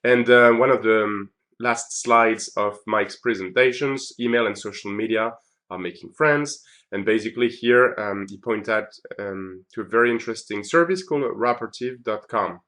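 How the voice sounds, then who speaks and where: A man's voice: fairly low pitch, normal speed, a bit bright. A man giving a chatty conference recap on his video blog, with many ums and uhs. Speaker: video blogger.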